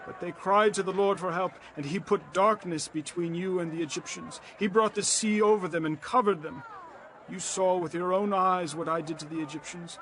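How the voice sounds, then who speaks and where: An actor playing an aged leader of Israel, grave and exhorting, in a dramatized scripture reading. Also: male voice, high voice, fairly bright tone, slight background noise. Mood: solemn, declaring. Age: older. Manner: grave, declamatory, emphatic.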